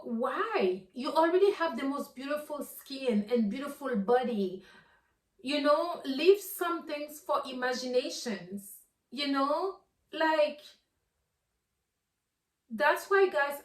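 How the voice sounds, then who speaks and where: A woman from Haiti, hosting a podcast as an earnest solo talk. She sounds preachy and often repeats words as she builds toward a point. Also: high-pitched. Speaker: podcast host. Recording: roomy sound from a distant mic.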